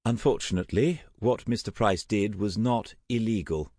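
A man playing a proud, easily affronted young gentleman in an audiobook, with a clipped, formal manner. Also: lowish voice, moderate pace, no echo, studio quality, voice pushed up. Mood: restrained, polite. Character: proud, indignant aristocratic young gentleman, courteous to his mother. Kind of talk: audiobook character acting.

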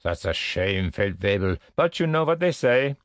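A man's voice: lowish voice, big pitch swings, normal speed, no echo, studio quality. A man voicing a keen, sardonic German army corporal in an audiobook, deferential but wry.

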